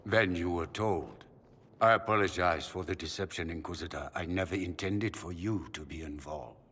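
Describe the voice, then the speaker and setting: An older nobleman father from a video game, stern yet full of regret. His very low, husky voice is formal and weary, echoing in a long hall reverb. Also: moderate pace, a bit bright, full character voice. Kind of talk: dramatic video game voice acting.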